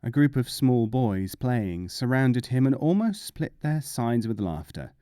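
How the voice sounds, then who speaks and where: A man's voice: mid-range voice, sing-song, unhurried, dark tone, no room sound, studio quality. A man narrating short humorous fables as a scripted audiobook reading.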